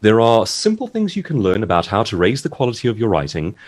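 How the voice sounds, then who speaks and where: Male guest author, low slightly husky voice, very animated intonation, articulate and emphatic advice-giving over a video call. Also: fast. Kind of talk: seminar interview, giving advice.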